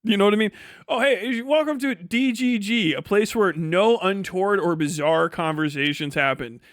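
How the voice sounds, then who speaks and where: A man's voice: high-pitched, moderate pace, a bit bright, no echo, studio quality. A man doing casual livestream commentary, ranting in a profane, sarcastic and exasperated way. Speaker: livestream host reacting to other streamers.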